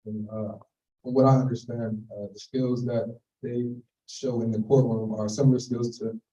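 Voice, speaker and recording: A man's voice: mid-range voice, normal speed, reverberant, far from the mic, dull audio. A young man introducing himself as a student senate candidate, speaking earnestly with frequent "uh"s. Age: young adult. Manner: earnest, steady self-introduction with filler uhs.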